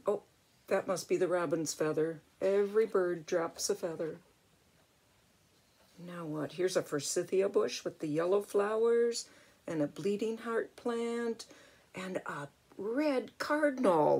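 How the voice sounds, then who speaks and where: An older woman, a grandmother, reading a picture book aloud in an expressive way and chatting to a young child with little exclamations. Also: on the low side, husky. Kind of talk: storybook read-aloud with chatty asides to a child.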